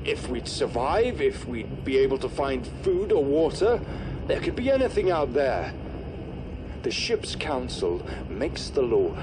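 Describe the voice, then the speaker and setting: An actor playing a contented, loyal starship resident in a game audio log, arguing in an insistent, rhetorical way. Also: male voice, on the high side, noisy background. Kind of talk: dramatic acted audio log.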